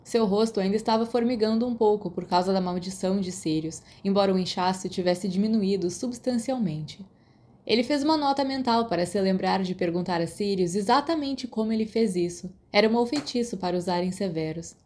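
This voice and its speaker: Mellow Brazilian woman narrating a story. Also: mid-range voice, brisk. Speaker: audiobook narrator.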